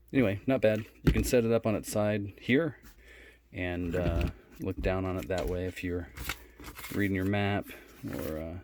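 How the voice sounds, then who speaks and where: A man giving a casual, unscripted product demonstration in a low-key, halting way. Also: noisy background. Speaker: product reviewer.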